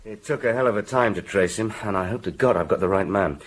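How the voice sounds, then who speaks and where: A man's voice: lowish voice, fast talker, natural voice. An actor playing a weary, matter-of-fact police detective reporting plainly to his superior in a radio drama. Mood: weary, businesslike. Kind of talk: BBC radio drama acting.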